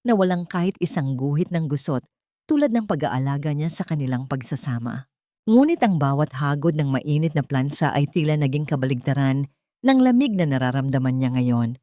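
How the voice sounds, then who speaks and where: Female narrator for dramatic audio fiction. Filipino accent, fairly low voice, very little room sound. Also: darkish tone, no room sound, clean studio sound. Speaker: storyteller, narrator. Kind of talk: dramatic scripted audio story narration in Tagalog.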